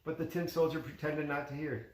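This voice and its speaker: A man's voice: on the high side, fairly even intonation, a little fast, a little mellow, slight background noise. A man reading a children's story aloud in a conversational way, with casual asides to a child as a parent would. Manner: conversational, pointing out pictures to a child. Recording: echoey room.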